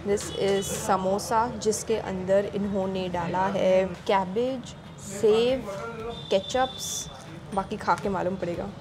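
A woman's voice: mid-range voice, fairly even intonation, takes it slowly, a crowd in the background. A young woman, reviewing street food in a casual vlog, chatting while she eats, recorded on a lapel mic in busy food shops. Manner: chatty, mixing Hindi and English, tasting on camera. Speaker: food vlogger.

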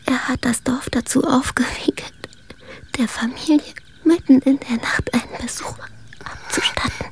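An actress in a radio drama playing a gravely injured woman, weak and struggling to speak in halting, breathy phrases. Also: sits high, fairly even intonation. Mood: weak, urgent. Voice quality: husky, breathy whisper. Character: gravely injured dying woman gasping out a secret.

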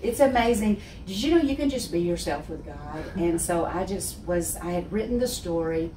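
A woman's voice: fairly low pitch, normal speed. A woman in her sixties with a heavy Texan accent, telling her faith story with big gestures and frequent laughter. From Texas. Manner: expressive, gestures constantly, laughs often. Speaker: guest speaker. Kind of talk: animated testimony. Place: wood-panelled office set with armchairs. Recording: roomy, distant, faint hiss.

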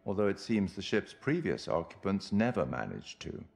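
An actor playing an enigmatic, sardonic curator of grim stories, speaking formally and wryly in a theatrical monologue. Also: male voice, sits low, big pitch swings, gravelly, faint music behind, full character voice. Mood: teasing, ominous. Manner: formal, wry, deliberate. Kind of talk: theatrical scripted monologue to the viewer.

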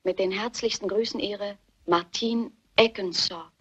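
An actress playing an anxious, secretive daughter in a German radio murder mystery, earnest and dramatic. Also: female voice, mid-range voice, fairly even intonation, a little slow, a bit bright, husky. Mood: measured reading. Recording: muffled, dull radio play recording.

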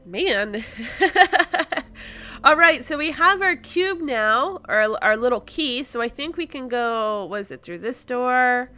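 A woman's voice: high-pitched, lively intonation, slow, pushed and bright. A woman giving casual let's play commentary as host, thinking aloud and exclaiming in surprise as she goes. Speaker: host of a gaming series.

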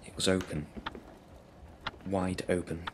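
A man performs a sinister, cunning murderer in a gothic audio drama. The voice is very low, with short deliberate phrases. Clear noise sits behind it. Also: little pitch movement. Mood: menacing, secretive. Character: cunning, sinister murderer recounting his stealthy crime.

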